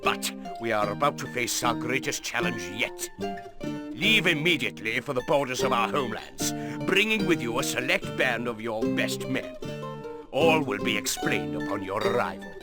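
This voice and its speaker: Man playing a stern, high-pitched-enough noble commander. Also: animated, close to an everyday voice. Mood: stern, urgent. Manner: formal, authoritative, measured. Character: stern noble male rebel lord briefing his commander. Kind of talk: game mission briefing. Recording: music under the voice.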